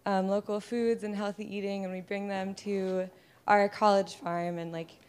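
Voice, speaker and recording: A woman's voice: mid-range voice, flat delivery, moderate pace, a little mellow, clean tone. A young American woman, a student in the audience, casually asking a question. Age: young adult.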